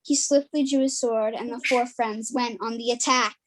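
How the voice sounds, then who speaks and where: A woman's voice: a little high, fairly even intonation, normal speed, a little hiss or hum, lightly acted. A woman narrator reading a scripted folktale as part of a dramatic performance.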